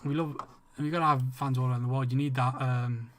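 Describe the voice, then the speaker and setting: An English-accented man streaming a game and talking straight to his viewers. He rambles along, trips over his own starts and drops swear words freely, at a middling pitch for a man. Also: fairly even intonation, fairly quick, fairly bright tone. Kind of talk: casual livestream chat with viewers.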